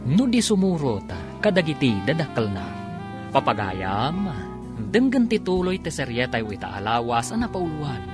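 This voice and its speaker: Animated male radio drama narrator over music. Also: on the high side, moderate pace. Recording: music bed under the narration. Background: prominent theme music.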